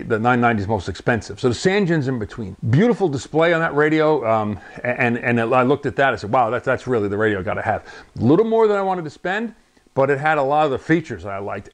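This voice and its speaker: A man in his sixties, a ham radio channel host, chatting casually and rambling a little as he unboxes a radio in his home radio room on a lapel mic. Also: mid-range voice. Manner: chatty, rambling, chuckles often. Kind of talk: casual product unboxing vlog.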